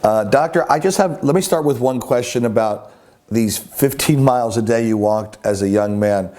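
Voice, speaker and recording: A man hosting an interview, reading a scripted introduction and then asking measured, polite questions. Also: medium pitch, dark tone. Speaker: interview host.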